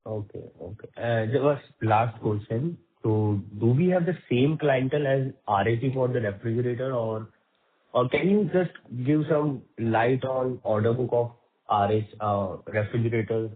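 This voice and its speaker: A narrow phone line carries a husky, mid-pitched male voice. He is an analyst calling in with questions during an earnings call. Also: normal speed. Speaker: analyst caller. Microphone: telephone.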